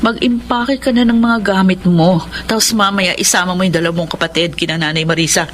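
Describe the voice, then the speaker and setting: A woman's voice: fairly low pitch, fairly even intonation, fairly quick, slight background noise, natural voice. An actress playing a guilt-ridden, troubled mother in a Filipino radio drama, with an emotional, confessional delivery. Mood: firm, low.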